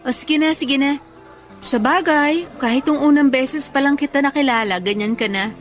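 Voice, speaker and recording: A woman playing a tired, affectionate young schoolteacher in a Filipino radio love drama, speaking softly and earnestly. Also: high-pitched, normal speed, soft background music, lightly acted. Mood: playful, fond.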